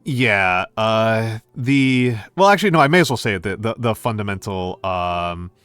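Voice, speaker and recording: A middle-aged American man chatting casually with stream viewers, pausing often with fillers and restarts. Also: fairly low pitch, lively intonation, fairly bright tone, clear, resonant tone, no echo, soft background music. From United States. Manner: hesitant, many fillers and restarts. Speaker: VTuber streamer.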